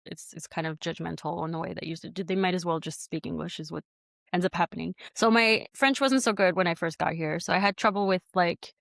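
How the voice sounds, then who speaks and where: A woman's voice: on the low side, a little fast, vocal fry, no echo. Chatty American woman, dry sound. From United States. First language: Spanish. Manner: chatty and animated, many likes and you-knows. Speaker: guest, studio founder and CEO. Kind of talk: casual conversational interview.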